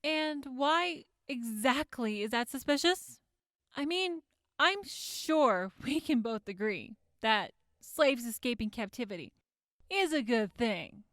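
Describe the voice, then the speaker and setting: A woman's voice: a little high, expressive, slow, fairly bright tone, dry recording, studio quality, heavily acted. An actress playing a composed woman prosecutor who questions formally and pointedly in an audio drama courtroom. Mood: probing, measured.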